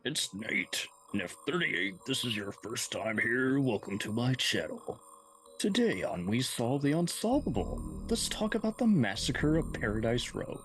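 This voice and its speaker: A man's voice: medium pitch, big pitch swings, unhurried, a bit bright. A man playing a cocky male true-crime video host in an audio drama, brash, casual and opinionated. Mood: brash, confident. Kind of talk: online video channel monologue in audio drama. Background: music under the voice.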